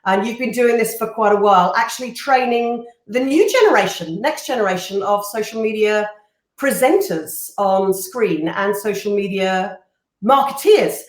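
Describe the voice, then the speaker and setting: A woman co-hosting a live stream, chatting in an animated way and laughing easily as she introduces her guest. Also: mid-range voice, normal speed. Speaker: co-host. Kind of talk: casual live-stream chat. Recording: roomy echo.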